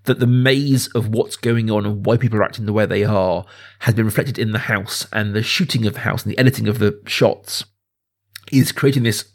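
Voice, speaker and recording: A man's voice: fairly low pitch, a little fast, vocal fry. A man hosting a casual film discussion podcast, explaining things in thoughtful, enthusiastic detail. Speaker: podcast host.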